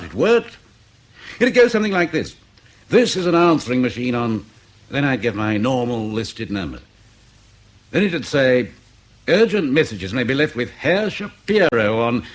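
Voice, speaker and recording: A man's voice: medium pitch, big pitch swings, normal speed. An actor playing a bitter, defensive male spy handler under questioning in a radio drama, blunt and insistent. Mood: matter-of-fact. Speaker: field intelligence officer being interrogated.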